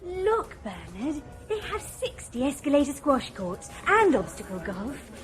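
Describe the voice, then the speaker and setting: A woman's voice: sits high, sing-song, a little slow, faint music behind. An actress playing a naive, bubbly young woman in a radio drama, gushing and breezy. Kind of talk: 1990s radio drama acting.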